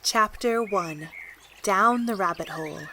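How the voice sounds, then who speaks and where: A woman's voice: medium pitch, a little slow, bright, forceful tone, slight background noise. A woman giving scripted storybook narration as the narrator of an audio drama. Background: music.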